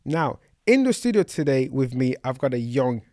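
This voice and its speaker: A man's voice: medium pitch, sing-song, normal speed, warm tone, no echo. A man hosting a casual property podcast interview in a studio, friendly and bantering, often repeating words as he speaks. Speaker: podcast host.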